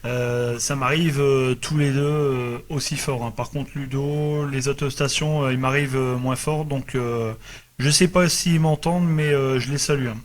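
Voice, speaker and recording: A man chatting casually as an amateur radio operator, in halting, hesitant phrases. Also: mid-range voice, steady tone.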